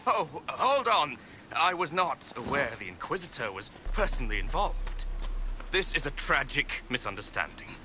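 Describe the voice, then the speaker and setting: An actor playing a haughty, scheming noble lord in a video game, speaking in a formal, condescending way. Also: male voice, mid-range voice, very animated, moderate pace, background noise, lightly acted. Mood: placating.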